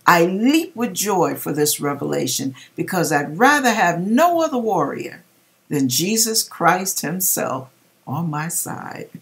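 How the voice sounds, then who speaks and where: A middle-aged woman reading aloud and commenting in a deliberate, dramatic way with pauses, recorded in a home kitchen. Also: fairly low pitch, big pitch swings, vocal fry, a bit bright. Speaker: vlogger reading a viewer's dream and commenting. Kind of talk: expressive read-aloud with religious commentary.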